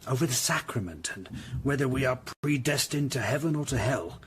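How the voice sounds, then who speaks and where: A man's voice: medium pitch, animated, brisk, natural voice. Man acting as troubled Tudor tradesman, background noise. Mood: deferential, confiding. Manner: hesitant, troubled, deferential. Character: anxious Tudor print-trade master, reluctant neighbour witness. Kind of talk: period audio drama acting.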